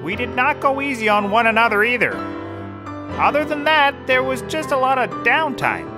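An actor playing a dazed, bumbling cheap private detective in a scripted comedy audio drama, rambling in a deadpan way. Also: mid-range voice, moderate pace, over music, lightly acted. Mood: rambling, matter-of-fact.

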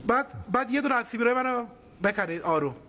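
An earnest, bossy little male puppet with a very high-pitched voice. He pleads and insists in a lovesick way, in TV comedy style, over faint background noise. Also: animated, bright, forceful tone, full character voice. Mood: dictating, earnest. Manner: insistent, pleading, dictating phrase by phrase.

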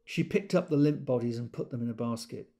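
An actor playing a wry British character in an audio drama, telling memories conversationally and quick to exasperation. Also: male voice, medium pitch, mellow, lightly acted. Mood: reflective, understated. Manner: conversational, acts out quoted speech. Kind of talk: intimate audio drama monologue.

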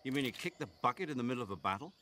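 A man playing a brisk, animated commanding officer on a radio call in a video game. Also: medium pitch, slight background noise. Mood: incredulous.

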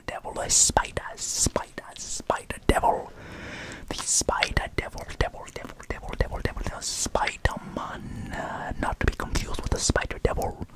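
A young man hosts ASMR in a breathy whisper right up on the mic. He takes his time, repeating words deliberately at a slow pace, with hardly any voiced tone at all. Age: 20s. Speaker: ASMR video host. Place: indoor room in front of green screen. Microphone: large foam-covered microphone, very close.

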